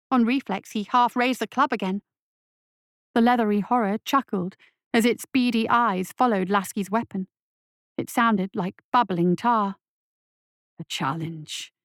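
A woman narrating a dark fantasy story in a scripted, dramatic audiobook reading style. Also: a little high, dry recording, clean studio sound. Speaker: story reader.

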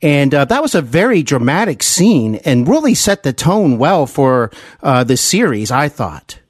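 A man's voice: fairly high pitch, sing-song, a little slow, husky, no room sound, clean studio sound. A man from the American Midwest hosting a conversational podcast interview, appreciative and a little hesitant in his phrasing. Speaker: podcast host.

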